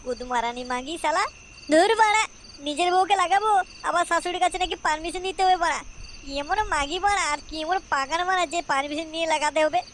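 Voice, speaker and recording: A woman's voice: extremely high pitch, fast, fairly bright tone, a little hiss or hum. A woman voicing a crude, frustrated young Bengali son-in-law in a comedy cartoon, muttering and stammering. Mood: frustrated grumbling.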